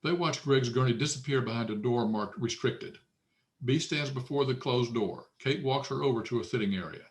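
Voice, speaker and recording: A man reads screenplay directions as narrator at a table read. His voice sits at an average pitch, delivering scripted scene descriptions. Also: normal speed.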